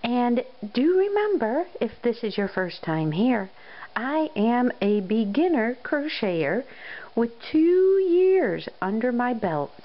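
A woman hosting a crochet how-to, her voice still a bit scratchy and hoarse after a cold, explaining things in a friendly, step-by-step way. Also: a little high, muffled recording. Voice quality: slightly scratchy, recovering voice. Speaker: crochet tutorial host. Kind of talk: casual how-to narration.

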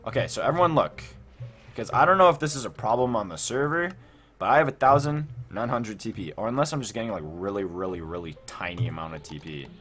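A man hosting a casual gaming let's play, thinking aloud in an excitable, repetitive way. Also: on the low side, fast talker. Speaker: gaming video host. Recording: music behind the voice.

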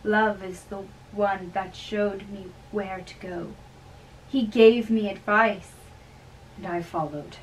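Lovestruck young man, acted by a woman, earnest, halting, very slow delivery, amateur drama reading, distant microphone. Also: medium pitch, fairly even intonation, slight background noise, natural voice. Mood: tender devotion. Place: small bedroom, indoors. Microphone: far camera.

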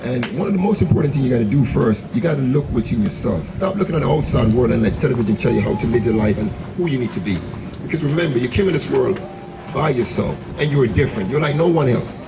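A young man from Jamaica speaks gently into a handheld mic, pausing often as he preaches about self-belief. His voice is gravelly and mid-pitched, with noticeable outdoor noise around it. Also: expressive. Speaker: author. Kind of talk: motivational speech. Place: outdoor stage under a tent. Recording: noisy outdoor camera recording.

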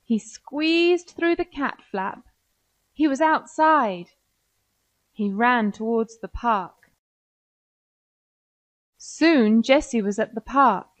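A woman's voice: on the high side, expressive, slow pace, long pauses, no echo. A woman with an American accent narrating a scripted children's story.

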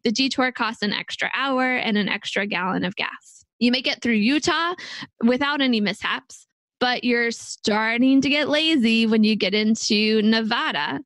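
A woman's voice: fairly high pitch, normal speed, a bit bright, dry recording. Woman podcast cohost talking thru a budget example, dry close mic. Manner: walks through a worked money example step by step. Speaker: podcast co-host. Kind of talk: casual explanatory chat.

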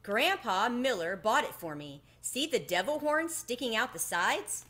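A woman's voice: fairly high pitch, sing-song, unhurried, pushed and bright, pitched above the performer's own voice, heavily acted. A woman voicing an outspoken, stubborn girl of almost six from a storybook, emphatic and blurting. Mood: excited, insistent. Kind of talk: children's storybook read-aloud.

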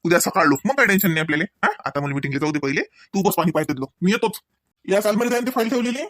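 A man's voice: sits high, lively intonation, racing, fairly bright tone, no echo, studio quality. A man playing a teasing cartoon husband hurrying off to work, bantering in Varhadi-accented Marathi. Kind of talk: Marathi comedy cartoon dialogue.

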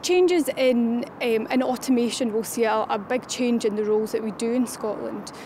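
A woman's voice: fairly high pitch, normal speed. A woman in her thirties giving a hesitant, explanatory interview answer outdoors. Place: outdoors in a city. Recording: clear outdoor urban traffic noise.

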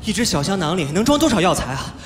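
An actor playing a playful, cheeky young wuxia cultivator, chattering and teasing in live stage audio-drama style. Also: male voice, high-pitched, big pitch swings, quick pace, noisy background. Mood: pained, protesting. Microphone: handheld microphone. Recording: live stage, echoey hall, music behind.

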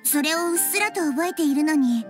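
A woman playing a gentle, wise little girl deity in an anime game, speaking in a composed, polite, reflective way. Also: high-pitched, lively intonation, brisk. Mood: pensive. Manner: composed, polite, reflective, feminine mature phrasing. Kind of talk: anime video game acting. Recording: music behind the voice.

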